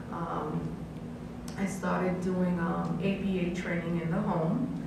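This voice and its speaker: A woman of Haitian background, a family counselor on a panel, talking earnestly with many um fillers. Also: on the low side. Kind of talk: unscripted panel talk. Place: college event room. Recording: echoey room, distant mic, background hiss.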